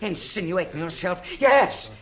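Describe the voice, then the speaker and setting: A woman's voice: lowish voice, animated, a little slow. An actress playing an imperious, easily outraged rich aristocratic mother in a British radio drama. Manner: haughty, commanding.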